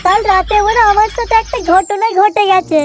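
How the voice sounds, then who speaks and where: A man voicing an aggrieved, foul-mouthed cartoon man with a West Bengali accent, whiny and indignant, through a pitched-up effect. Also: fairly even intonation, a little fast, background noise. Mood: whining. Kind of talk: crude comedy cartoon dialogue.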